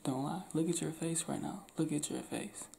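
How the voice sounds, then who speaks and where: Young man, half-whispered, laid-back, gravelly, close to the camera, small room. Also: fairly high pitch, steady tone, normal speed, dark tone, slight background noise. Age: young adult. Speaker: ASMR roleplay creator. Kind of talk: ASMR roleplay, spoken to the listener. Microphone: camera close to face.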